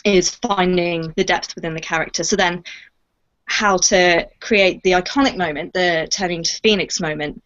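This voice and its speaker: Woman, slightly low voice, voice actress, casual Q&A, reflective and expressive, muffled lo-fi audio. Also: moderate pace. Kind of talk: casual Q&A chat.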